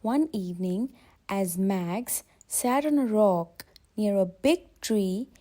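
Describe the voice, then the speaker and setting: A woman narrating a children's bedtime story in an expressive, scripted storytelling style. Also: medium pitch, slow pace.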